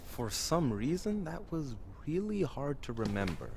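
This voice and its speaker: A man's voice: fairly high pitch, sing-song, measured pace, vocal fry, darkish tone, slight background noise, full character voice. A man playing a hapless, confused male lost soul in an audio drama, with a flustered, stammering delivery. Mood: bewildered.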